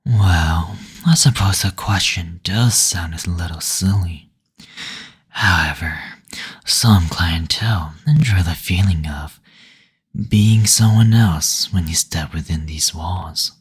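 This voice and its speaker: A very husky, near-whispering male demon, played by a man, speaking low and unhurried. He is suave and courtly, teasing with coy endearments and lingering hums, as in an intimate roleplay audio. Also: heavily acted. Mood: seductive, attentive.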